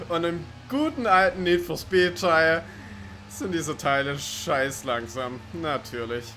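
A man with a fairly high voice commentates a video game casually. He is sarcastic and drops in chuckling asides. Music plays lightly behind him. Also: slow pace, sharp tone. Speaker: let's play commentator. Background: game music and car sounds.

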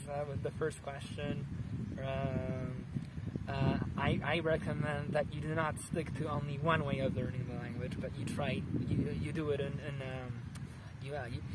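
A man's voice: on the high side, very noisy. Polish man in his thirties, outdoors. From Poland. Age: 30s. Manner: animated, gesturing, frequent restarts. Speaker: interviewee, interpreter and translator. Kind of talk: casual interview answers. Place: outdoors in a sunny park.

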